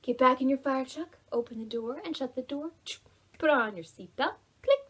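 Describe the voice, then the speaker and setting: A woman hosting preschool storytime as a children's librarian, reading aloud and leading action rhymes in an animated, singsong, exaggerated way for small children. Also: sits high, normal speed, slightly rough.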